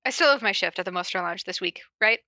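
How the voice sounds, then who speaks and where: A woman's voice: mid-range voice, lively intonation, fast, bright, forceful tone, no room sound, studio quality. A woman voicing a cheeky, beaten-up young male student, sardonic and informal, in a story reading. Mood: defiant.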